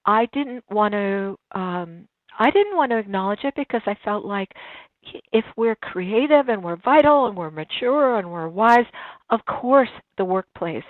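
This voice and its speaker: A woman's voice: medium pitch, expressive, measured pace, no echo. A woman in her sixties, a guest in an interview, talking reflectively and telling stories with frequent restarts, over a tinny line. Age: 60s. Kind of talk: casual interview conversation. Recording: tinny phone-line sound, dry.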